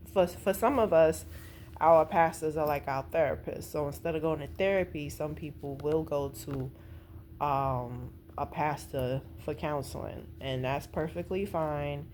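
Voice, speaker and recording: A woman's voice: deep voice, darkish tone, slight background noise. An American woman talking casually and informally, retelling a story with her own opinions and slang. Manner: conversational and informal, with slang and acted-out quotes. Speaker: vlogger reviewing a stage play. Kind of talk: casual chat, recounting a plot with opinions.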